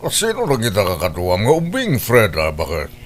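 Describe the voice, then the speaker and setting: Man acting an animated, practical Ilocano husband. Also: fairly low pitch, normal speed, music in the background. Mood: doubtful. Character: practical husband and doubtful new adoptive father. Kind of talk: Ilocano audio drama acting.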